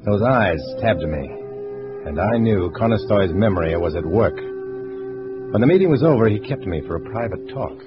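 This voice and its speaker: An actor playing a tough, guarded undercover agent, terse and hardboiled, in an old-time radio detective drama. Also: male voice, on the low side, very animated, moderate pace. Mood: hardboiled narrating. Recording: muffled old radio recording with music.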